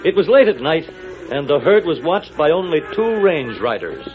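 A man's voice: a little high, big pitch swings, moderate pace, narrow, tinny audio, background noise. A man narrating a 1940s radio serial as announcer, in a dramatic, declamatory style on an old recording.

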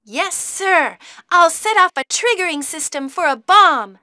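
Bright, high-pitched eager young rookie. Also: female voice, animated, a little slow, dry recording, studio quality, heavily acted. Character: eager, dutiful young female rookie officer. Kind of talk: video game cutscene acting.

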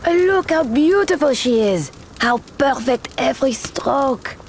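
A woman's voice: high-pitched, animated, unhurried, slight background noise. A woman voicing an earnest, commanding resistance leader with a French accent in a video game. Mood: reverent. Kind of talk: video game cutscene acting.

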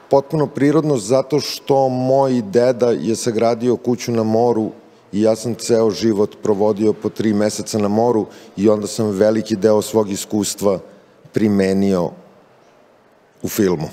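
Low, dark-voiced middle-aged man in a hall. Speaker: film director. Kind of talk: interview answers. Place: festival press area, large hall. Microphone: handheld mic. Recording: hall reverb.

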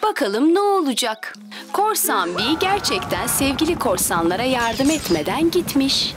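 A woman voicing a gentle teacher in a cartoon, telling a children's story with expressive, clear phrasing. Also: high voice. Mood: gentle, dramatic.

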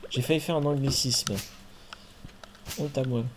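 Male streamer, chatty and playful, cusses lightly, relaxed gaming talk. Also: mid-range voice, measured pace, a little hiss or hum. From France. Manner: chatty, jokey, playful swearing. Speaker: streamer and host. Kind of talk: casual chat while playing a farming game.

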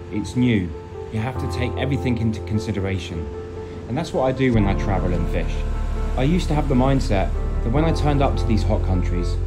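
Calm guy doing voiceover, lowish voice, giving advice, music loud behind him. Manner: measured, advising. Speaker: channel host. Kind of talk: reflective voice-over narration. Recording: voice-over under loud music.

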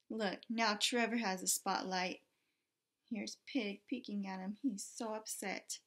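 Slow, high-voiced woman reading to children. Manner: expressive, exclaiming, asking children questions. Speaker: teacher reading a picture book aloud. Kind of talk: children's read-aloud with commentary and questions. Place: small indoor room with bulletin board.

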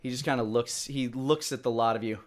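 A man in his thirties narrating a live tabletop game as dungeon master, improvising in a halting way. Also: mid-range voice, steady tone. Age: 30s.

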